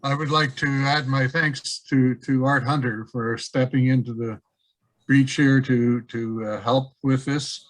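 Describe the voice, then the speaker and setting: A man's voice: mid-range voice, fairly even intonation, measured pace, no room sound, low-quality recording. A man hosting a webinar, handing over and closing with thanks on a video call, plain and hesitant. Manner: plain, with restarts and fillers. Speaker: organizer and host of the webinar.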